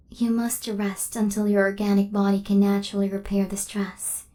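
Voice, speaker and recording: A woman playing a devoted, protective female hunter robot in a soft-spoken ASMR roleplay, with formal, precise, machine-like phrasing. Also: mid-range voice, lightly acted. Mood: gentle, attentive.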